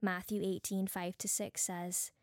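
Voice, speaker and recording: A woman's voice: mid-range voice, flat delivery, a little slow, dry recording, studio quality. Earnest woman with a Bajan accent. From Barbados. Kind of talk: devotional teaching talk from notes, with personal anecdotes.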